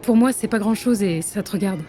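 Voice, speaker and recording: A woman's voice: mid-range voice, brisk, dark tone, soft background music, close to an everyday voice. A woman playing a sardonic, teasing secret agent in a spy audio drama, casual and needling.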